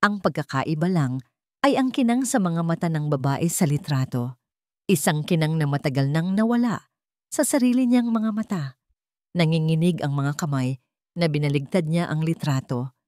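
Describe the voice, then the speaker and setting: A woman's voice: deep voice, a little mellow, dry recording, studio quality. A woman with a Filipino accent narrating a scripted dramatic story.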